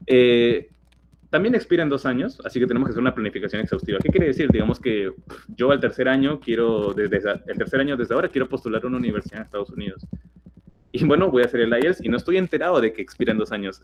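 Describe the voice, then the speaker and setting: Peruvian teen explaining, low voice. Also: male voice, highs cut off, noisy background. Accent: Peruvian Spanish. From Peru. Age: teens. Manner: explanatory, many fillers and restarts. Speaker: guest speaker. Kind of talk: webinar talk.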